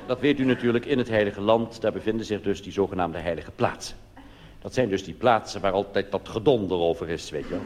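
A man with a Netherlands Dutch accent, a cabaret comedian telling a comic monologue live on stage in a conversational way, full of colloquial asides. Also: lowish voice. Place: on stage in a theatre. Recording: noisy live recording in reverberant hall.

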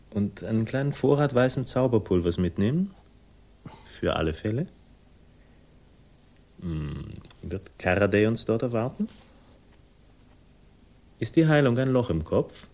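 Cool crime-drama lead, low voice, dry irony, laconic, quietly threatening, 1960s radio play. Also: male voice, sing-song, measured pace, long pauses, full character voice. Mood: cool, probing. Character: cool sardonic man confronting his sister's lover, quietly menacing. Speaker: first-person narrator and lead character.

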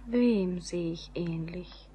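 An actress playing an anxious young bride resigned to her doom in a radio drama, speaking in a hushed, breathy, halting way. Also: lowish voice, slight background noise. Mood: wondering, uneasy. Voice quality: breathy, often near whispering. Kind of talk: German radio play acting.

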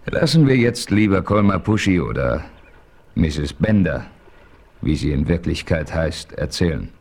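An actor playing a calm, shrewd frontier hero in a 1970s radio play, asking probing questions and explaining with assurance. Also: male voice, rumbling low, measured pace, creaky, exaggerated voice. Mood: composed.

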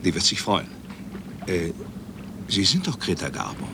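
Old grandpa, shy n polite, real deep voice, radio drama, noisy behind. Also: male voice, wildly swooping pitch, measured pace, gravelly, full character voice. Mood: shyly admiring. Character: polite, hesitant old grandfather, admiring autograph seeker. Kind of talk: German radio drama acting. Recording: loud background noise on radio play.

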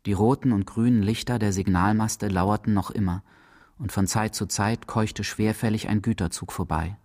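Low-voiced man narrating. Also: fairly even intonation, normal speed, darkish tone. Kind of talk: literary radio drama narration.

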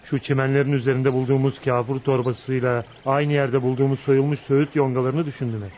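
A man's voice: mid-range voice, little pitch movement, fast, darkish tone, slight background noise, natural voice. An actor playing a brooding, jealous man of volatile temper in a dramatic, intense radio theatre style. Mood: bitter, pleading. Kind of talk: Turkish radio theatre acting.

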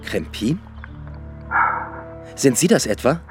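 A man's voice: mid-range voice, very animated, slow, loud music behind the voice. An actor playing a blunt, stubborn male police detective who speaks tersely and presses people hard in a radio play. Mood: pressing.

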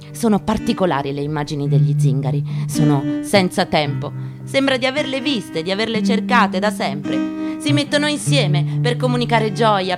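A woman gives a dramatic literary reading in a measured, declamatory manner, with deliberate pauses. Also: medium pitch. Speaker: actress giving a stage reading. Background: acoustic guitar.

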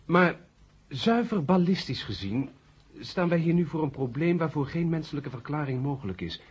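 An actor playing an earnest, courteous ballistics expert who explains hesitantly in a radio drama. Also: male voice, a little high, lively intonation, moderate pace, breathy edge. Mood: earnest, concerned. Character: earnest male ballistics expert, courteous, troubled but open-minded. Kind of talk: 1970 Dutch radio drama acting. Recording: muffled old radio drama tape.

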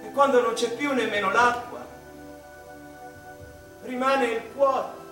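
Slow, echoey, wistful mathematician. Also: male voice, very high voice, steady tone, fairly bright tone, full character voice. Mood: intense, urgent. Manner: dramatic, halting delivery with intense swells. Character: wistful, anguished British male mathematician speaking to his mother. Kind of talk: theatrical radio drama monologue. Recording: echoey room, distant microphone, music behind.

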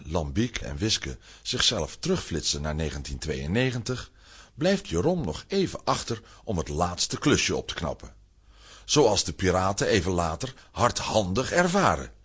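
A man's voice: sits low, fairly bright tone, slightly rough. A man narrating a children's audio play in a scripted storytelling style.

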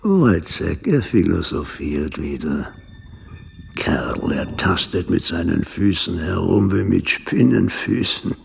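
An actor playing a pompous, coldly gleeful doctor in a radio play, husky and declamatory, with clinical Latin-laden talk. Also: male voice, low voice, lively intonation, slow, darkish tone, heavily acted. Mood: smug fascination. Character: pompous, gleefully cold male doctor obsessed with his theories. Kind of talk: German-language radio play acting.

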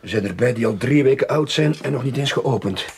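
A man acting a terse detective who narrates his own case in a radio drama, in a gravelly, husky voice. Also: medium pitch, expressive, brisk, a little mellow, a little hiss or hum, natural voice. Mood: grim, matter-of-fact.

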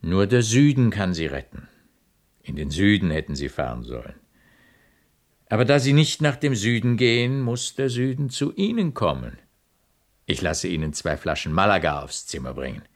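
Retired military physician, bottomless bass, heavy creak, authoritative, blunt, radio play. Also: male voice, sing-song, moderate pace. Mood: brisk, commanding. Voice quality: very deep, gravelly voice. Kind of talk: German radio play acting.

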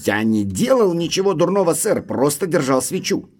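An actor playing an anxious, evasive butler, deferential and faltering, in an audio drama. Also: male voice, a little high, very animated, moderate pace. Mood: defensive. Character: nervous, evasive, deferential butler guarding a secret.

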